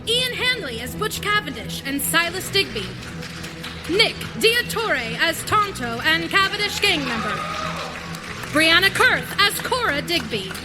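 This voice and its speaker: A woman's voice: very high-pitched, sing-song, measured pace, rough recording. A woman announcer reading a scripted sign-off for a live radio theater show. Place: theater stage. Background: music.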